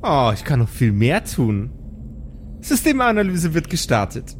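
A man's voice: on the high side, huge pitch swings, measured pace, slight background noise. A man voicing a smitten, melodramatic female combat robot who switches between gushing and formal system announcements. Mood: flirtatious.

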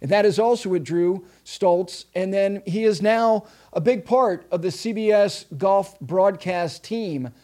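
High-voiced emphatic male host. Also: warm tone. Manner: emphatic, halting restarts when asking questions. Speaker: podcast host. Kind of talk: interview hosting.

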